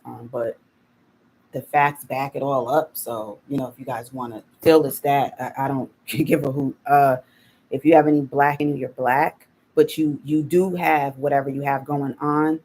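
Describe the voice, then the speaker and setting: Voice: medium pitch, steady tone, normal speed, darkish tone, a little hiss or hum, poor audio. A channel host reacting on a live stream, talking hesitantly and restarting as they think aloud.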